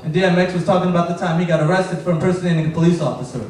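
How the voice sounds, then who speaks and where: A man's voice: sits high, rapid-fire. Young man doing stand-up in an echoey club. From Afghanistan. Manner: deadpan storytelling, acting out characters in quotes. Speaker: stand-up comedian. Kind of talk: stand-up comedy set. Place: comedy club stage. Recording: very echoey room, voice heard from a distance.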